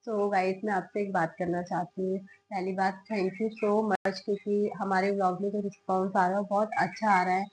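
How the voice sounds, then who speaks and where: Hoarse young mother vlogging at home. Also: medium pitch, little pitch movement, moderate pace, few pauses, over music, poor audio. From Himalayan hill region of India. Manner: chatty, talks to camera and coaxes her toddler. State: hoarse from a cold. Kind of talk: casual home vlog. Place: family home.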